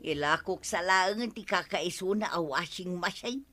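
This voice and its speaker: A woman's voice: lowish voice, moderate pace, sharp tone, faint music behind. A woman playing a guilty village treasurer in an Ilocano radio comedy, pleading and making excuses in an Ilocano accent. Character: guilty woman treasurer who gambled funds.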